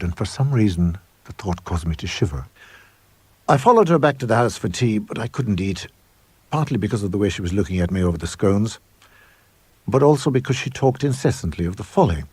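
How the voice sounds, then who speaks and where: A man with a husky voice narrating a ghost story in a measured, expressive, old-fashioned gentlemanly manner. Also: lowish voice, no echo. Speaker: reader of an audio ghost story. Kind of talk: dramatic first-person scripted narration.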